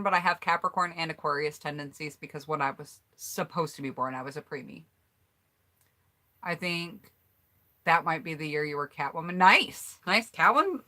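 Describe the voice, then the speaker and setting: A woman's voice: lowish voice, bright, forceful tone. An American woman chatting casually on a home mic, reading out viewers' answers in an upbeat, rambling way. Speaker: YouTuber hosting a collab tag.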